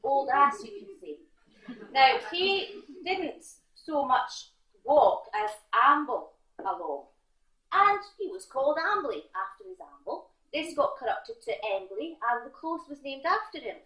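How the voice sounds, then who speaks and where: A Scottish woman telling a comic tall tale live in a theatre, speaking directly to her audience with halting asides. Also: high voice, very animated, fairly bright tone. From Scotland. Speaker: competition storyteller. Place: theatre stage before an audience. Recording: roomy, echoing theatre recording.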